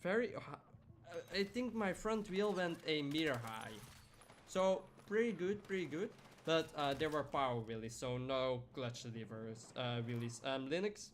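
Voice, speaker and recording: A man's voice: on the high side, a bit bright, a little hiss or hum. A young man chatting casually on a live stream while gaming, rambling through stories and answering chat by name.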